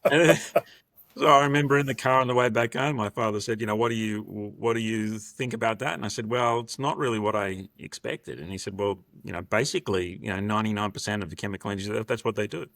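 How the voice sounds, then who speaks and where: A man's voice: on the low side, quick pace, gravelly, fairly bright tone, no room sound. An Australian man in his fifties, speaking as a podcast guest and telling stories in a conversational way. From Sydney, Australia. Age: 50s. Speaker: podcast guest, restructuring partner. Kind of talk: conversational interview, anecdotal storytelling.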